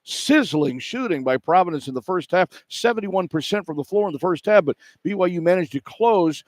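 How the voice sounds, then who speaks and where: A man's voice: high-pitched, lively intonation, fairly quick, dry recording. A man giving a radio sports recap as a play-by-play commentator.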